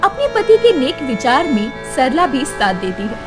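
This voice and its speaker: A woman's voice: high voice, sing-song, moderate pace, music in the background. A woman narrating a story in a dramatic, scripted storytelling style.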